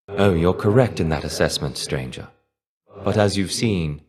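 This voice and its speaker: A man playing a melancholy, guarded fallen warrior in a video game, speaking in measured, understated, old-fashioned formal phrases with a wry edge. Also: deep voice. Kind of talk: video game character voice acting.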